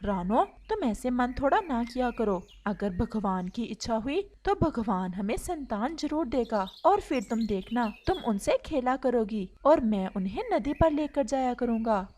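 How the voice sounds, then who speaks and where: A woman voicing a blustering male bird in a children's cartoon, emphatic and expressive. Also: on the high side, a little slow, few pauses, a little hiss or hum. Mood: consoling. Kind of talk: Hindi children's cartoon character voice.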